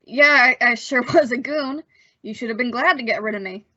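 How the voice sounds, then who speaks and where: Hesitant, bright-voiced boyish young man played by a woman. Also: a little high, brisk, no echo. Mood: sheepish. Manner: hesitant, stammering, folksy slang. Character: young man, awkward, apologetic, boyish, eager to please. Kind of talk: old-time radio suspense acting. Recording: slightly dull, muffled audio.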